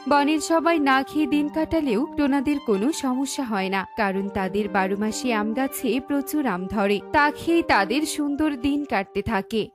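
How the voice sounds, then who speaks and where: A woman narrator reading a scripted children's cartoon story. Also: sits high. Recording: music bed behind. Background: music.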